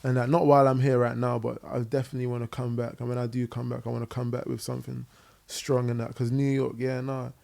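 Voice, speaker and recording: Fast-talking London man, gravelly and mellow. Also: mid-range voice, little pitch movement. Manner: quick, chatty, lots of fillers and "innit". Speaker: guest musician. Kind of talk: casual podcast interview. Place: living room podcast set. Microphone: boom mic on arm.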